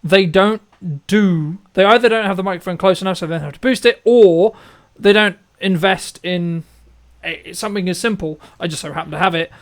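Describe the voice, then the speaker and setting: Male interview host, high voice, casual, rambling and repetitive delivery. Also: a little fast. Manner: rambling, repetitive, checks in with "right?".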